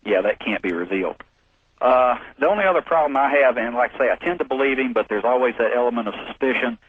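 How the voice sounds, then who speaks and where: A man of about forty from Alabama, calling in to a late-night radio show over a tinny, narrow telephone line. He speaks at a middling pitch in a polite, folksy, somewhat hesitant way. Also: fast talker, dry recording. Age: 40s. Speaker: caller. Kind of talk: call-in radio conversation.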